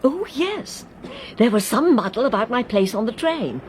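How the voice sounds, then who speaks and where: A high, swooping English voice as a genteel spinster governess in a radio drama. She is chatty, kindly and plucky, fluttering along effusively over clear train noise. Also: sing-song, moderate pace, a little mellow. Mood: chatty, confiding. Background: train ambience.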